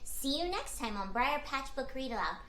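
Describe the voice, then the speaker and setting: A woman hosts a children's read-aloud, speaking straight to young listeners. Her voice sits fairly high and moves around with lots of animation. The room is noticeably echoey. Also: sing-song, normal speed, pushed and bright. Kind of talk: scripted, child-directed introduction and sign-off. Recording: echoey room, background noise.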